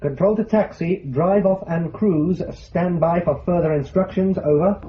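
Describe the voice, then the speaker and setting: Man acting high-voiced, clipped radio operator. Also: measured pace. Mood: formal. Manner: clipped, formal, methodical. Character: deferential, procedural male control-room radio operator. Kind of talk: Cold War radio drama. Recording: muffled audio with faint noise.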